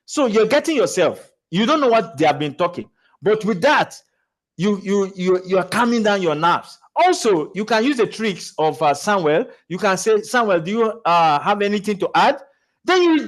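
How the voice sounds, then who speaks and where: A man giving a motivational talk on public speaking, animated, with lots of restarts and repeated words, laughing as he goes. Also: high-pitched, normal speed. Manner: laughs while talking. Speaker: speaking coach.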